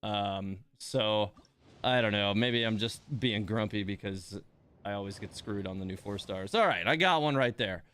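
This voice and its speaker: A man's voice: lowish voice, normal speed, fairly bright tone, smooth. A man hosting a game livestream, chatting casually with wry, mildly grumbling informality. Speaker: game streamer, host. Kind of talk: casual livestream commentary while playing.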